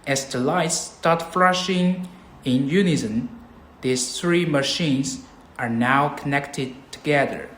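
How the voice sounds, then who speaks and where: A man presenting a scripted product tutorial, explaining things in a measured, step-by-step way. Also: on the high side, a bit bright. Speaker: product demonstrator. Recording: roomy echo, background noise.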